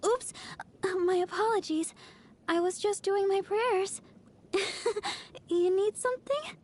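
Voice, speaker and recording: A woman's voice: very high voice, takes it slowly, a little mellow. A woman voicing a cheerful, devoted young girl idol healer in a video game, sweet, earnest and bubbly. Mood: flustered. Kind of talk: video game character voice lines.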